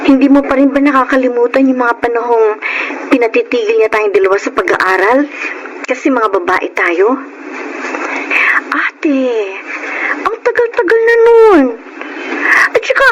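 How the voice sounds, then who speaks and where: High-voiced, coaxing younger sister. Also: female voice, lively intonation, a little slow, long pauses, noisy background. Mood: gently probing. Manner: coaxing, earnest. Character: caring younger sister, gently persuasive. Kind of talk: Filipino radio drama acting.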